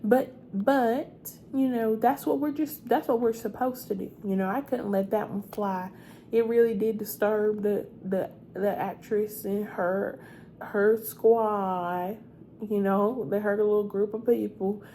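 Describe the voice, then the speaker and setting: A woman playing a sweet, chatty, gossipy hotel receptionist in a soft-spoken, confiding ASMR roleplay, repeating her words. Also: mid-range voice, fairly even intonation, a little slow, a little hiss or hum, close to an everyday voice. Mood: chatty, self-justifying.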